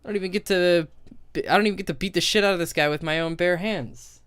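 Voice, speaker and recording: A man's voice: sits high, fast, a bit bright, clear, resonant tone, dry recording. A man giving a casual, opinionated game critique, thinking aloud in a sweary, exasperated way. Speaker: video commentator.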